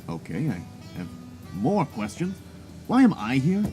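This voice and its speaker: An actor playing an earnest, skeptical young soldier hero in an audio drama, dry and questioning. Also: male voice, mid-range voice, huge pitch swings, unhurried, dark tone. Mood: dry skepticism. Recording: music under the voice.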